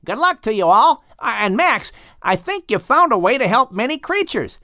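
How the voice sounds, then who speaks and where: A man's voice: extremely high pitch, big pitch swings, moderate pace, bright, forceful tone, dry recording, studio quality, pitched above the performer's own voice, heavily acted. A man playing a kind, dignified father dolphin in an audiobook story. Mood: grateful farewell.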